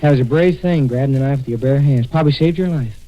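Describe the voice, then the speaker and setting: Casting: man as brisk hospital intern, quick and mellow, old radio drama on a dull recording. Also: mid-range voice, natural voice. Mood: matter-of-fact. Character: brisk, admiring hospital intern tending wounds. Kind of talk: 1940s radio acting.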